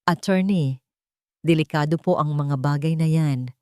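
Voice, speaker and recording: A woman's voice: low-pitched, measured pace, a little mellow, clear, resonant tone, no echo, clean studio sound. A woman with a Filipino accent voicing a frightened, conscience-stricken old retired female hospital worker in a story. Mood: wary.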